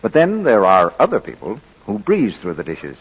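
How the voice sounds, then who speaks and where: A male radio announcer reads a 1940s advertisement. His voice is lowish and husky, with lively rises and falls. The old recording is dull. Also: moderate pace.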